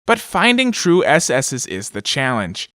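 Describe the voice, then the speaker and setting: A man's voice: mid-range voice, huge pitch swings, a little slow, pushed and bright, dry recording, clean studio sound, full character voice. A man playing an officious corporate announcer in an audio drama, reading in a clipped, formal, authoritative way. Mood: officious, clinical. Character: officious male corporate announcer of a sinister company.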